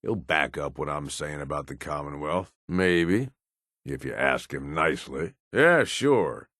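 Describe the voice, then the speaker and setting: Gruff low american raider right-hand man for a game, cynical but loyal, swings around a lot, dry close sound. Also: animated, a little slow, a bit bright, no room sound, exaggerated voice. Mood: matter-of-fact. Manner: blunt, folksy, drawling slang with swearing. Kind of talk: video game companion voice lines.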